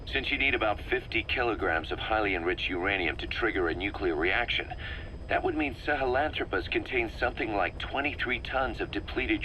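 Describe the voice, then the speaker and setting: An actor playing a serious military second-in-command in a video game, giving matter-of-fact explanatory briefings. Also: male voice, on the low side, pushed and bright, slight background noise, rough recording. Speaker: military deputy briefing his boss.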